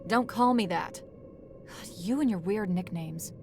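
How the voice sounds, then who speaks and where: A woman voicing a curt, guarded, grumpy young assassin in a visual novel game, grumbling in deadpan. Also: mid-range voice, unhurried, a little hiss or hum, lightly acted. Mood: grumpy, defensive.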